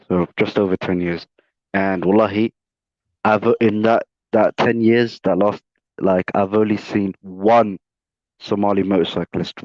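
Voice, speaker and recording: A man's voice: on the low side, a little mellow, no echo, dull audio. A man in his late twenties chatting casually, laid-back and joking with lots of filler words. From England. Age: 20s. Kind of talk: casual chat.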